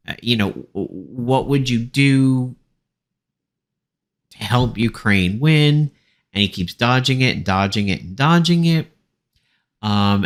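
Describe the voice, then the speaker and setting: A man giving an informal, opinionated political recap as a commentator, talking from notes in a halting, dismissive way. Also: on the low side.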